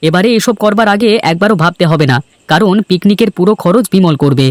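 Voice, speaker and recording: A man's voice: high-pitched, brisk, no echo, clean studio sound, lightly acted. A man voicing a cheerful, sociable young village man in a cartoon story, eager and friendly. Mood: affectionate, reassuring.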